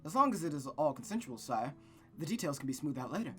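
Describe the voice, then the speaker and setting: A reader voicing a kindly, courteous marquis from a visual novel in a measured, reassuring manner. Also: mid-range voice, sing-song, faint music behind, natural voice. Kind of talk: visual novel read-aloud character voice.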